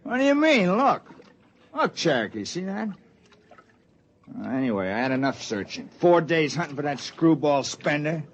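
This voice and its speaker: A man's voice: a little high, very animated, moderate pace, lo-fi sound. An actor playing a crude, grumbling spaceman with slangy, scornful speech in a 1950s radio drama. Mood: griping, scornful. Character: loudmouthed, grumbling, crude spaceman with sore feet. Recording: noisy vintage 1950s radio recording.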